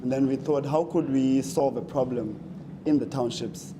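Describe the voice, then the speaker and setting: A man's voice: mid-range voice, normal speed. A young man from the Eastern Cape with a South African accent, a student presenting his hackathon project on stage, hesitant with many ums and restarts. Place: conference stage. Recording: noisy live event audio.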